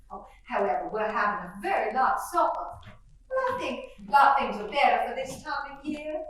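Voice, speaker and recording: A woman's voice: high voice, fairly bright tone, a little hiss or hum, heavily acted. An actress playing a fussy, middle-aged faded belle mother, who chatters on in a gushing, rambling way. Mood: fluttery. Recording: echoey roomy sound from far off.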